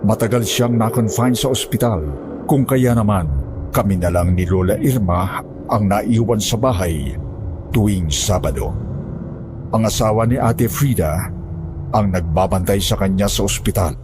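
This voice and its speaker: A man's voice: on the low side, a little slow, background noise. A man narrating a scripted first-person horror story as its storyteller.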